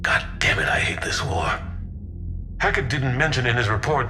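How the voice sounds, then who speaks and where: A man playing a senior military officer in a game, middle-aged, gruff but warm and weary of war. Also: on the low side, sing-song, normal speed, a little hiss or hum. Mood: grave, weary. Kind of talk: cinematic game dialogue.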